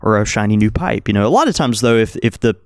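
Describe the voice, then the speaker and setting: A mid-pitched man co-hosts a laid-back chat, easygoing and agreeable. His intonation rises and falls expressively. The sound is dry and close to the mic. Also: expressive, no room sound. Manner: easygoing, agreeable, quick to laugh. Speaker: tobacco shop co-host. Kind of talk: casual chat.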